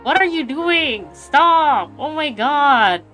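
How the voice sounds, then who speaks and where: A woman's voice: sits high, animated, takes it slowly, bright, forceful tone, soft background music. A young adult woman giving casual, reactive gameplay commentary as a streamer, with plenty of slang. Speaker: game streamer.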